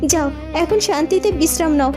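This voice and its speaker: A woman's voice: sits high, normal speed, music in the background, lightly acted. Woman as a solemn, stern young fairytale princess. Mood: firm. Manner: solemn, declamatory. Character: young princess, compassionate but stern ruler. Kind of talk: children's fairytale cartoon acting.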